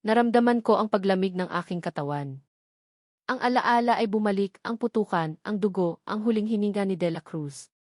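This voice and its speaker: A woman's voice: medium pitch, moderate pace, darkish tone, no echo, clean studio sound. A woman with a Filipino accent reading a dramatic first-person story as a narrator.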